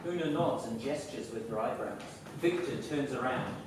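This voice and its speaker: A man's voice: medium pitch, normal speed, noisy background. A man reading stage directions as scripted narration at a staged reading. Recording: very echoey room, distant microphone.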